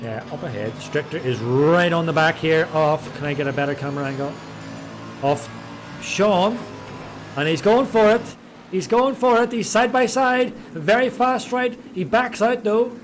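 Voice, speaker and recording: A man giving chatty, excitable live commentary on an online race, stumbling over drivers' names. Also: sits high, normal speed, soft background music. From Ireland. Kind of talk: live sim racing commentary.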